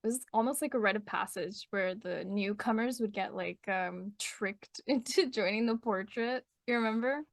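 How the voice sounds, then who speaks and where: A woman's voice: mid-range voice, normal speed, vocal fry, few pauses, dry recording, clean studio sound. A young adult woman hosting a podcast interview, chatty and bubbly, laughing often. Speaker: podcast host. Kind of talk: casual interview chat.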